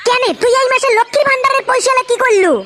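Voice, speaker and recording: A performer voicing a boastful, coarse-mouthed lazy son in a comedy cartoon, with a North Bengal accent and an artificially pitched-up voice. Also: very fast. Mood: boastful, pleading. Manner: pleading, bragging, coarse-mouthed. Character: boastful lazy son pestering parents for money. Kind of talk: comedy cartoon dialect dialogue. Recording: loud background noise with clicks.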